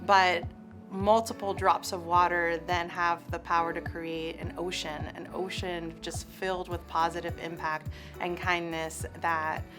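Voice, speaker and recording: A woman giving a reflective interview-style answer, pausing as she builds her thoughts. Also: fairly low pitch, little pitch movement. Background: music.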